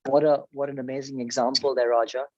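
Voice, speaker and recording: A man's voice: medium pitch, level tone, fast talker, a little mellow, dry recording, lo-fi sound. A man hosting an interview, enthusiastic and full of praise, with frequent ums. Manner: enthusiastic, effusive praise with fillers. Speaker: podcast host. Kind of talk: interview host chat.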